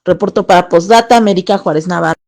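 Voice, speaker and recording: A woman's voice: fairly low pitch, quick pace, a little mellow. A woman with a Mexican accent reading a scripted news report.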